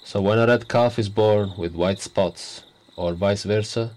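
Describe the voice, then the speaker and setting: Guy doing a smug pompous politician in a radio play, lowish voice, full of himself. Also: measured pace, darkish tone, lightly acted. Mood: lecturing. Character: pompous arrogant male prime minister, middle-aged.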